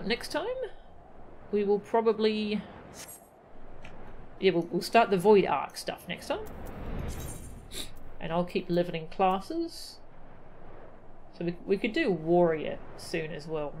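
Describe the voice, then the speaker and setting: A woman's voice: medium pitch, sing-song, slow, long pauses, slight background noise, low-quality recording. A woman giving casual, chatty live commentary as she streams a video game, easily delighted. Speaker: game livestreamer. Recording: loud game music behind. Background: video game music.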